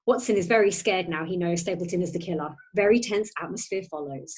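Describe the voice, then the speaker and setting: A woman's voice: fairly low pitch, fast, breathy edge. A woman narrating a play script, reading out scenes and stage directions.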